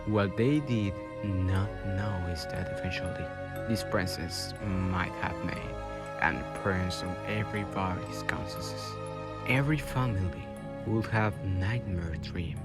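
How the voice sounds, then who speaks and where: A man's voice: lowish voice, measured pace, loud music behind the voice. A man giving dramatic scripted narration for a radio drama.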